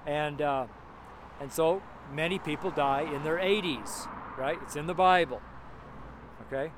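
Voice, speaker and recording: A seventy-year-old man with a fairly high voice preaches in a loose, folksy way, wandering from thought to thought. He speaks outdoors, with faint traffic hum behind him. He chuckles to himself now and then. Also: unhurried. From United States. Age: 70s. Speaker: street preacher. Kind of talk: casual outdoor sermon. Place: outdoors by a road with passing cars.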